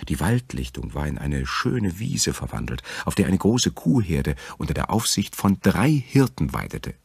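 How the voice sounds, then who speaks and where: A man with a very deep, gravelly voice narrating a fairy tale as a storyteller. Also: sing-song, normal speed, no echo.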